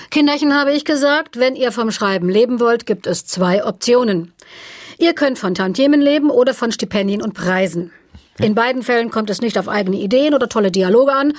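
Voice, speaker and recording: An actress playing a caustic woman author in a satirical radio interview, deadpan and sardonic. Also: on the high side, dry recording, clean studio sound, natural voice.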